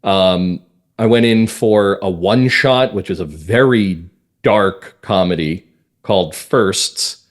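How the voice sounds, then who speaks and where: A man's voice: low-pitched, slow pace. A man chatting casually as an interview guest, telling anecdotes about his creative work with enthusiasm. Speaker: podcast guest, audio drama writer and producer.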